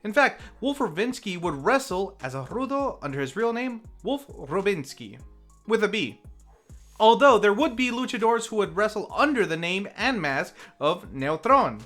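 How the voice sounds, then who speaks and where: A review host narrating from a script, with theatrical flourishes and wry deadpan asides. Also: mid-range voice, normal speed, soft background music. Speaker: host of a film review series.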